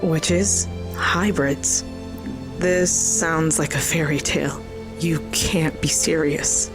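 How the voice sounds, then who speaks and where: A woman's voice: low voice, fairly even intonation, slow, music in the background. A woman playing a bold, curious young girl, earnest and unguarded, in a fantasy audio drama. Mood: disbelieving.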